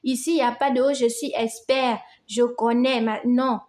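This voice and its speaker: A woman's voice: fairly high pitch, steady tone, normal speed, a little mellow. Gabonese woman teaching crochet, affectionate. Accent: Gabonese French. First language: Fang. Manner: affectionate, reassuring, addresses viewers as "les amours". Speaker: crochet tutorial host. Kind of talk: casual step-by-step tutorial.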